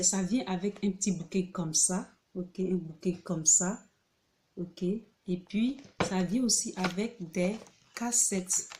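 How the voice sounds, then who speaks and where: A woman talks through a casual video tutorial at an unhurried, drawn-out pace, her voice sitting a little low. She hesitates often, fills gaps with filler sounds and restarts her sentences. She keeps checking in with her listeners as she goes. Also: noisy background. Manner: repeats key points, checks in with "ok?". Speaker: video host presenting a pronunciation book. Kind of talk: casual spoken tutorial to camera.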